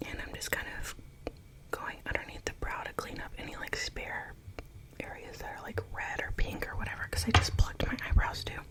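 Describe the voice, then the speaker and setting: A woman's voice: brisk, rough recording. A young woman whispering through a makeup tutorial, rambling with plenty of fillers and chatting to her viewers. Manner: chatty, self-conscious, asks viewers for comments. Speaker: beauty vlogger.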